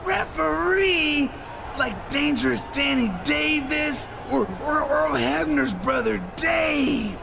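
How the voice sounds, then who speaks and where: Creaky, childlike, gullible young wrestler. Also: male voice, very high-pitched, lively intonation, shouting, exaggerated voice. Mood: eager. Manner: slow, hesitant, naive, boyish delivery. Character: childlike, gullible, eager young man wrestler, simple-minded. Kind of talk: scripted video game cutscene acting. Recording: clear ambient noise behind voice.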